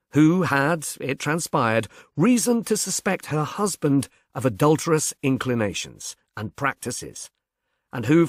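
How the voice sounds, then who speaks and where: A man reading literary narration in a measured, formal, gently wry manner. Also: mid-range voice, no room sound, clean studio sound. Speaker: audiobook narrator.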